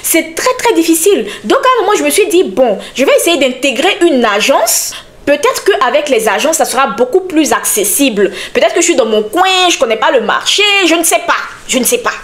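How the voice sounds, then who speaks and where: A young woman talking casually to her listeners on a podcast, animated and emphatic, speaking straight to them. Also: high voice, a little fast. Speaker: podcast host and content creator.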